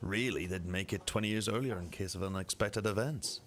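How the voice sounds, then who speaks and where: A man acting as a methodical police detective in an amateur radio drama, with measured, formal, matter-of-fact delivery. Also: sits low, a bit bright. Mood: musing.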